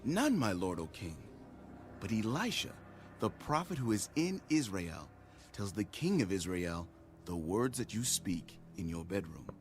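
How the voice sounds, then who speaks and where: A deferential servant at a king's court in a scripture drama. His voice sits fairly low with very lively intonation, and faint music runs beneath it. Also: measured pace. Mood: deferential, urgent. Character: deferential male royal servant informing his king.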